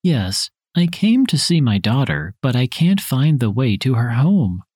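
A man reading his parts in a scripted dialogue for a language listening test, with careful, even delivery. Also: mid-range voice, measured pace, breathy edge, no room sound, studio quality.

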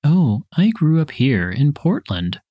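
Husky man reading a textbook dialogue. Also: fairly high pitch, big pitch swings, slow pace, no echo, lo-fi sound. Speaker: dialogue reader for a listening test.

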